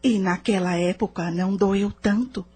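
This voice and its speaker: Woman acting soothing nurse, dark husky voice. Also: medium pitch, fairly even intonation, normal speed, natural voice. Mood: gently probing. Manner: soothing, composed. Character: calm, reassuring spirit-world nurse, gently probing. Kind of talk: audio drama acting.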